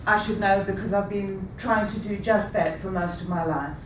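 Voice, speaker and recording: A woman in her fifties telling a rehearsed personal story with a measured, deliberate delivery. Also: mid-range voice, fairly even intonation, background noise. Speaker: speaker. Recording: echoey room, taken from a distance.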